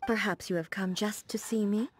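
An actress voicing a serene, dignified female warrior ruler in a video game, speaking formally and with measured composure. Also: mid-range voice, steady tone, over music, natural voice. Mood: composed. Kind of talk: scripted video game character dialogue.

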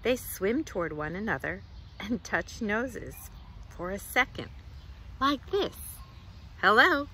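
A middle-aged woman reads a picture book aloud to children outdoors, with expressive, deliberate phrasing. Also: medium pitch, slight background noise. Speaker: children's librarian, storytime reader. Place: outdoors in a leafy garden.

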